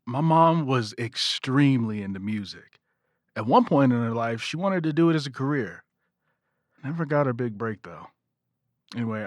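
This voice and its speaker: A man's voice: medium pitch, no room sound, studio quality, natural voice. A man playing a friendly, reflective grieving son in an audio drama, with easygoing, conversational delivery.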